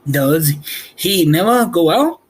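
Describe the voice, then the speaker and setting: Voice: mid-range voice, animated, slow pace, slightly rough, pitched above the performer's own voice. A man voicing a friendly, curious male talking scarecrow in a storybook reading.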